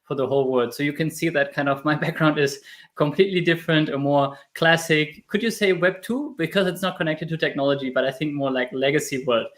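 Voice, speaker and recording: Man in his thirties, German accent, slightly high voice, casual interview talk, animated storyteller. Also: brisk. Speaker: podcast guest, crypto YouTuber.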